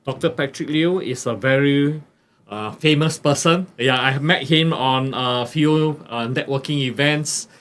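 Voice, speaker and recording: A man hosting a podcast, introducing his guest haltingly with many restarts. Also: mid-range voice, fairly bright tone. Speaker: podcast host. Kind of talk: casual interview introduction.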